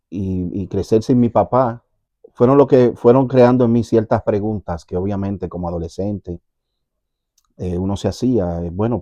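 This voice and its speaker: A man with a Dominican accent, a pastor sharing his personal testimony in an earnest, reflective way, often pausing and repeating words. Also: sits low, warm tone, no echo, muffled recording. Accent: Dominican Spanish. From Dominican Republic.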